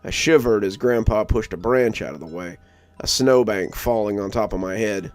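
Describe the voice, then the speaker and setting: A man's voice: fairly low pitch, moderate pace. A man reading a scripted story as a narrator. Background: music under the voice.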